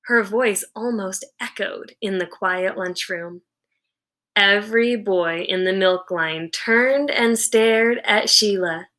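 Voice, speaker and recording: A woman with a bright, forward voice reads a children's story aloud like a teacher. Her delivery is slow and deliberate, with expressive, dramatic storytelling. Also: medium pitch. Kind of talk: expressive read-aloud narration.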